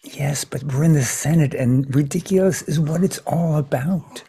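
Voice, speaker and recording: Husky, mellow, stammering scheming senator played by a man. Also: a little high, moderate pace, exaggerated voice. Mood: persuasive, scheming. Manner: hesitant, stammering, backtracking. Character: scheming, evasive male senator pushing an absurd bill. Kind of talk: improvised comedy sketch.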